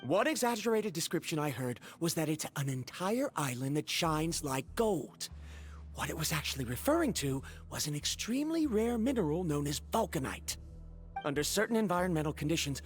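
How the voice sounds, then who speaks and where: A woman playing a proud, excitable scholar in a video game, with formal, theatrical phrasing. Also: low voice, normal speed, faint music behind. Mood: proud, explanatory. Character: eager, self-assured scholar of ores, proud and excitable. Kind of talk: English video game voice acting.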